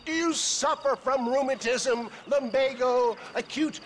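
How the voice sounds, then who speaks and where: A man's voice: very high-pitched, a little slow, a bit bright, a little hiss or hum. An actor playing an aging, pompous snake-oil salesman in a western game, florid, theatrical and wheedling. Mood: grand sales pitch. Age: older. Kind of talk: theatrical video game acting.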